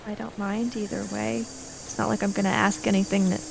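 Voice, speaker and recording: A woman playing an anxious, hesitant and loyal young female thief in a tabletop game, with halting delivery. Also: mid-range voice, little pitch movement, vocal fry, noisy background. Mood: worried, pleading.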